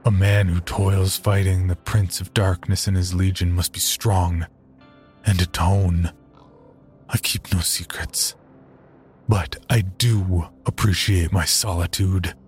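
A man's voice: sits low, level tone, takes it slowly, faint music behind. A man playing a stern, zealous exorcist priest who gives commanding orders. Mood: grave. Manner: commanding, forceful declarations.

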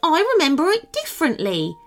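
A woman's voice: high voice, wildly swooping pitch, fairly quick, faint music behind, voice pushed up, full character voice. A woman voicing a cheerful, boastful little male gnome in a children's story, squealing and eager to persuade.

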